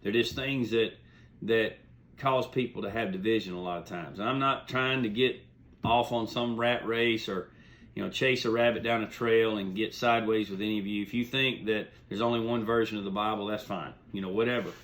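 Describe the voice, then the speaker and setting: A man's voice: fairly low pitch, fairly even intonation, normal speed, fairly bright tone. A man hosting a hobby video series, talking informally to viewers in a folksy, plain-spoken, rambling way. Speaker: host of a beagle hunting video series. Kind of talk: informal explanatory monologue to viewers.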